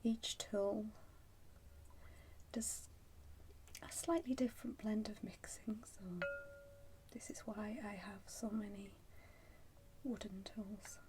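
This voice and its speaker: A woman performs an ASMR roleplay as a shopkeeper, whispering softly to her customer. Her delivery is very unhurried, with frequent pauses and a breathy texture. Clear background noise and small clicks sit behind the voice. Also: medium pitch, steady tone. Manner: slow, pausing, unhurried. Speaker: ASMR performer playing a soup shop keeper.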